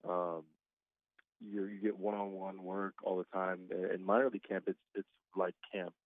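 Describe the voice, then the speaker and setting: A man's voice: deep voice, moderate pace, no echo. A man of twenty-five, a guest baseball player, talking casually by phone and laughing often. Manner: relaxed, chatty, laughs often. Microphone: phone call. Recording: tinny dry phone line.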